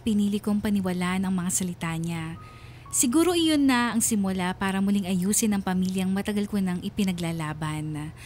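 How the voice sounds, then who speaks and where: Wistful wife-narrator female actor, medium pitch, reflective sentimental radio drama read, faint background noise. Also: darkish tone, lightly acted. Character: wistful wife and mother narrating her letter confession. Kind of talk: scripted first-person radio drama narration.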